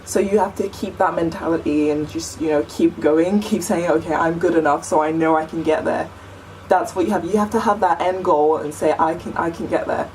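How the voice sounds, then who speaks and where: A young woman with a low, deep voice talks earnestly and chattily to her camera, scattering "like" and "you know" through her sentences. She sits a little back from the mic. A faint hiss hangs under her words. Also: steady tone, brisk, few pauses, a little mellow, recorded from a distance, rough recording. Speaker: vlogger. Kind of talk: casual chat to camera.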